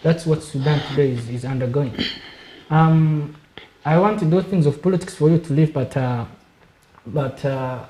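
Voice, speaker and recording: A man from Somalia, a guest on a panel discussion, speaking in a measured way and repeating words while he searches for them. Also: fairly high pitch, mellow, roomy sound, low-quality recording. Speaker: studio guest.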